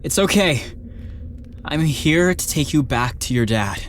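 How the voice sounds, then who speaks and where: Woman voicing an animated, earnest boyish hero. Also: low voice, unhurried, slight background noise. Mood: reassuring. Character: Atreus, earnest kind young male exorcist. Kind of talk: dramatised fantasy audiobook acting. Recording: faint music under the voice.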